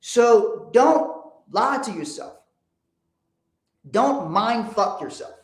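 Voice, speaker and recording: A man's voice: high-pitched, big pitch swings, drawn-out words, reverberant. A man from Liberia, hosting a motivational livestream in a blunt, profane, emphatic way. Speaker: host.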